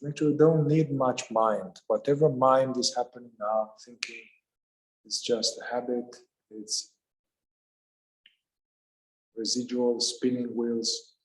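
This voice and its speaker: Guy doing guided meditation, calm, normal pitch, lots of pauses, echoey room. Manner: calm, unhurried, with long pauses between short phrases.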